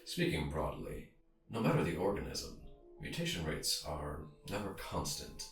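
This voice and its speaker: A man's voice: a bass voice, wildly swooping pitch, normal speed, creaky, full character voice. A man playing a curt, impatient scientist in an audio drama, formal and precise, in an echoey space with music behind him. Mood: lecturing. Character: curt, impatient male scientist, dry and learned. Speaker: doctor of science. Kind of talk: audio fiction dialogue.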